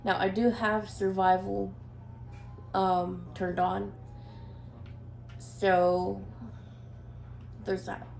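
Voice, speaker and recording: A woman's voice: mid-range voice, extremely slow, vocal fry, pauses often, a little hiss or hum. A woman with a head cold, sounding nasal, chatting casually through a gameplay session with lots of ums. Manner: relaxed, hesitant with many ums. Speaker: gameplay commentator. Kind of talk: casual let's play commentary. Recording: echoey room with faint ambience.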